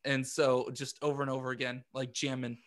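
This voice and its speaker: A man chatting casually as a podcast co-host, halting and repeating words as he works out his thoughts, often self-deprecating. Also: medium pitch, little pitch movement. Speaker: podcast co-host and amateur musician. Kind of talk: casual group chat.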